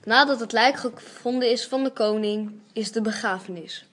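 A woman's voice: fairly high pitch, moderate pace. A woman narrating a storybook-style tale in an amateur radio play. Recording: clearly noisy homemade recording with clicks.

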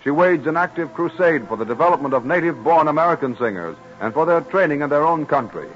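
A man narrating a 1930s radio documentary in a formal, measured way, on an old broadcast recording. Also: on the high side, expressive, muffled recording, faint music behind.

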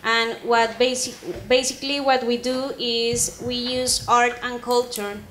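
A woman's voice: fairly high pitch, steady tone, measured pace, a bit bright. A woman with a Colombian accent chairs a conference panel, speaking hesitantly with many fillers. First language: Spanish. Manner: hesitant, many fillers, apologetic about her English. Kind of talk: panel moderation. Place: conference stage, large hall. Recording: roomy hall sound, faint noise.

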